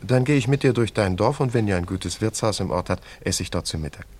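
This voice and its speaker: A man's voice: on the low side, animated, quick pace, darkish tone, breathy edge. An actor playing a carefree, teasing young wandering man in a German radio play, in an easygoing, bantering way. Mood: curious, friendly.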